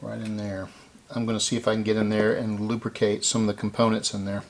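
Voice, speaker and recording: A man with a low, gravelly voice talks through a hands-on repair in a casual way. He explains each step as he works, thinking aloud. There is some faint noise behind him. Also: flat delivery, quick pace. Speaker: repair video host.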